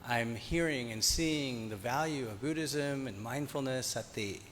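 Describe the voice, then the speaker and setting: A man in the audience asks a question, earnest and a little self-deprecating, at a middle pitch. The hall gives a long reverb, and a faint rumble runs underneath. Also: unhurried, fairly bright tone. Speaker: audience member asking a question.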